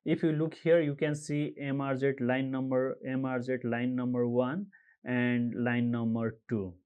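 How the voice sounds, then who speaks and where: A man from Nepal walking viewers through an online form step by step, pausing often with uh and um as he reads out codes. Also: medium pitch, flat delivery, clear, resonant tone, narrow, tinny audio. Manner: halting, frequent uh and um fillers, reads out codes slowly. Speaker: tutorial presenter. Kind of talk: screen-recorded how-to walkthrough.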